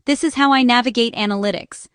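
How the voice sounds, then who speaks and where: Higher-pitched woman narrating a scripted explainer. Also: fast talker, smooth, no echo, clean studio sound. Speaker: narrator and small business owner explaining accessibility.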